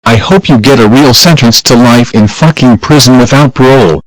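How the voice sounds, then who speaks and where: A man's voice: medium pitch, moderate pace, no echo, natural voice, rough recording. A man playing a boastful male clone witness who taunts and curses. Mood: taunting. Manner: taunting, gloating, cursing.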